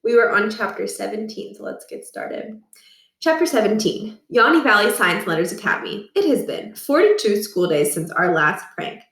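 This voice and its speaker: A woman reading a children's storybook aloud with expressive, deliberate delivery in a roomy space. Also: medium pitch, vocal fry, far from the mic. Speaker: read-aloud reader. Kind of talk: scripted storybook narration. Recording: roomy, echoing room.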